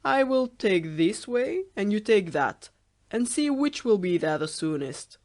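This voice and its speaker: A woman voicing a wicked, hungry male wolf in a storybook reading, speaking in a loud, sly, coaxing way. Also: mid-range voice, sing-song, a little slow, pitched above the performer's own voice.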